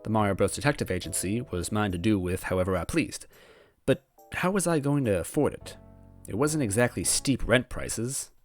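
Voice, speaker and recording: A man's voice: fairly low pitch, lively intonation, moderate pace, close to an everyday voice. A man playing an anxious, self-doubting young man who narrates his own story in a hesitant, wavering way. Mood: anxious, brooding. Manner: hesitant, wavering, second-guessing. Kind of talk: first-person storybook narration. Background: background music.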